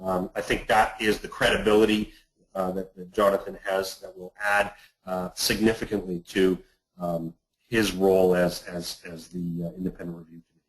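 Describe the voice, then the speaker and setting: A man presenting on an investment webinar, speaking haltingly and often repeating words. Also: deep voice, fairly even intonation, rough recording. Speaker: investment manager hosting a client webinar. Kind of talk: presentation on a conference call.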